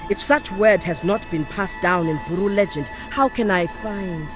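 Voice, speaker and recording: A woman playing a resolute island priestess in a video game, speaking in a formal, measured, assured way. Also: mid-range voice, lightly acted. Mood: solemn, resolute. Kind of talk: video game character acting. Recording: prominent music behind the voice.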